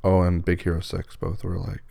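A hoarse man, losing his voice, answering listener questions in a hesitant, casual way. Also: sits low, completely flat delivery, normal speed, mellow, no room sound, clean studio sound. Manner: hesitant, many ums and restarts.

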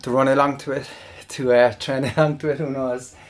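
A man's voice: mid-range voice, flat delivery, brisk. A man chatting casually while working out. He rambles between sets, laughs often, and sounds out of breath and sweaty. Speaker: online audio creator.

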